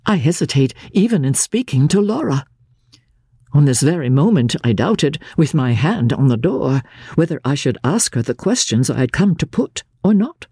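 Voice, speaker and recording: Deep, husky woman reading classic fiction. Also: no echo, clean studio sound. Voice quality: low-pitched, husky. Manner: measured, grave, expressive. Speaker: audiobook narrator, radio presenter. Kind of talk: dramatic reading of classic literature as a diary.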